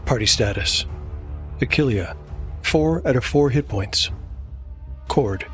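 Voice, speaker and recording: A man narrates a scripted fantasy drama as its host. His delivery is measured and solemn, in a mid-range voice, with faint music underneath. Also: medium pitch, expressive, fairly bright tone. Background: background music.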